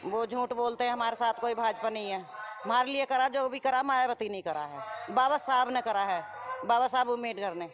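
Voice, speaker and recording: Woman, village resident, North Indian accent, blunt, repetitive, off-the-cuff street interview, clear crowd noise behind. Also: fairly high pitch, moderate pace. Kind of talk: spontaneous vox pop. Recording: busy crowd around the voice.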